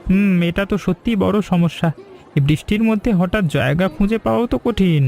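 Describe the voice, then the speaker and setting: A man voicing a high gravelly kindly schoolmaster. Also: a little fast, faint music behind. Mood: reassuring. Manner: warm, reassuring. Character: kindly middle-aged male schoolteacher, generous helper. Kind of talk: animated cartoon voice acting.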